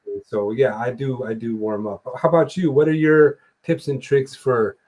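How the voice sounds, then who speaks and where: A man's voice: mid-range voice, moderate pace. Laid-back rambling male host. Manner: relaxed, rambling, swears casually. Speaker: podcast host and musician. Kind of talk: casual interview chat. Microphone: remote streaming call mic.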